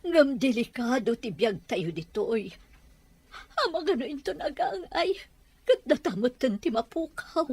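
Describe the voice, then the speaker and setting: Woman playing a battered Ilocano wife and fearful mother for audio drama; swooping, emotional delivery, slightly rough voice. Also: high voice, lively intonation, a little slow, a little mellow, exaggerated voice. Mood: fearful, pleading. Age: middle-aged. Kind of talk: Ilocano radio-style drama acting.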